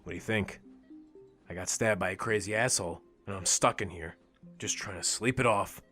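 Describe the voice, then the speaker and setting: A man's voice: deep voice, moderate pace, pushed and bright, faint music behind. A man playing a bitter, stubborn, sarcastic injured teenage boy in an audio drama, sullen and grumbling. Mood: bitter, weary.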